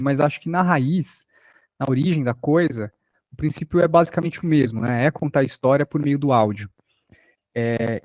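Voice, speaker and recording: A Brazilian man gives an informal lesson in a mid-pitched voice. He feels his way through sentences haltingly, and the sound is dry and close. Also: dry recording. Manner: halting, thinking aloud. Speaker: workshop teacher.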